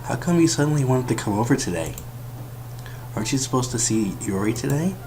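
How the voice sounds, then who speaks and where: A man voicing a sad teenage girl who forces cheerfulness, softly reading visual novel game dialogue. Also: mid-range voice, animated, fairly quick, a little hiss or hum, voice pushed up, close to an everyday voice. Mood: wistful. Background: sad game music.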